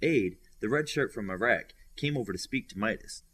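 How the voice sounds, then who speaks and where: Husky man reading a story aloud. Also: on the low side, animated, normal speed, fairly bright tone. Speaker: audiobook reader. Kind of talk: scripted narration of a superhero novel.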